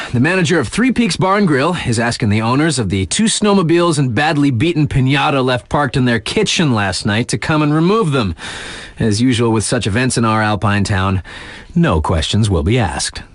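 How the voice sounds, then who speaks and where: A man's voice: mid-range voice, big pitch swings, moderate pace, dry recording. A man playing a laid-back, wisecracking radio DJ in a video game, reading local bulletins in a casual, mock-serious way. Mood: breezy, mock-serious. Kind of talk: breezy radio news bulletin, ad-libbed asides.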